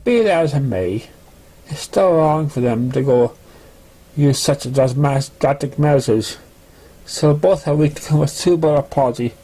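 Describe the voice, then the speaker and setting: Guy as a strict but fair pony teacher, formal, lecturing, slightly high voice, storybook. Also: unhurried, mellow, rough recording. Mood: stern. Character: stern but fair schoolteacher pony, measured and principled.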